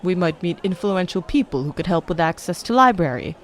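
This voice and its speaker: A woman voicing an earnest male paladin who speaks in halting, broken phrases. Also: on the low side, lively intonation, close to an everyday voice. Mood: eager.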